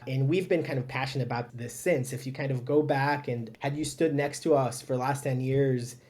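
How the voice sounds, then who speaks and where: A man talks as a podcast guest, chatty and self-deprecating, with lots of fillers. His voice sits at a middle pitch. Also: fairly quick, darkish tone. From Israel. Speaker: startup founder, guest. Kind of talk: casual interview conversation.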